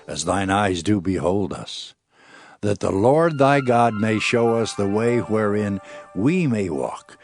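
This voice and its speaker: A man's voice: lowish voice, a little mellow. Man acting a humble, pleading petitioner. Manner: pleading, reverent. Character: humble male petitioner begging a prophet's prayer. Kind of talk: dramatized scripture reading.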